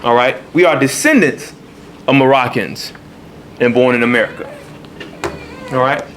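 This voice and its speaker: A man's voice: mid-range voice, moderate pace, a bit bright, slight background noise. Man teaching a temple class. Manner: call-and-response, repeats points with "all right". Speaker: religious teacher. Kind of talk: temple lesson to a congregation. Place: temple hall.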